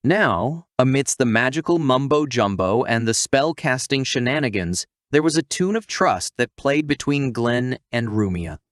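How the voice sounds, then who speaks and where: Male narrator for recap videos: playful, theatrical storyteller, medium pitch, close dry sound. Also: animated, a little slow, few pauses, a bit bright, dry recording.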